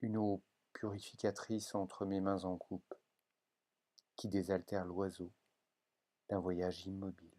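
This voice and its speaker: A man with a moderately low voice reads poetry solemnly. He takes his time, very slow and deliberate, setting each phrase down with measured care. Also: level tone, warm tone. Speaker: poetry reader.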